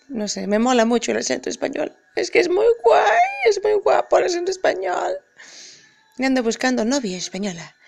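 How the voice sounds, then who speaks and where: A woman voicing a mischievous male koala plush toy, putting on a Spanish-from-Spain accent, squeaky and playful. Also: vocal fry. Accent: put-on Spain Spanish. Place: hotel room. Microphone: phone camera at arm's length.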